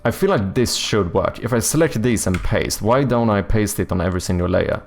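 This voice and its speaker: A Swedish man with a low, raspy-edged voice chats his way through a live stream, muttering to himself as he tinkers. His English carries a Swedish accent and an unhurried, casual swagger. Faint music plays in the background. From Sweden. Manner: thinks aloud, muttering while working; relaxed, swears casually. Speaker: streamer and game developer. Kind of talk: casual livestream chat.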